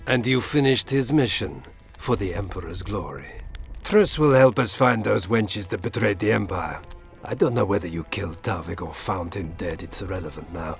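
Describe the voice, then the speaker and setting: An actor playing a courteous, scheming middle-aged Imperial ambassador in a fantasy game, formal and politely menacing. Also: male voice, sits low, normal speed, vocal fry, hardly any pauses, soft background music. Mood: smugly menacing. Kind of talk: scripted fantasy game dialogue.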